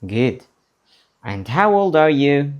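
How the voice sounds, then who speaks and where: Slow, lively-voiced male teacher. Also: fairly high pitch, lo-fi sound. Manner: slow, deliberate, repeats words for learners to copy. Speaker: English teacher. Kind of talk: slow beginner English lesson, drilling words.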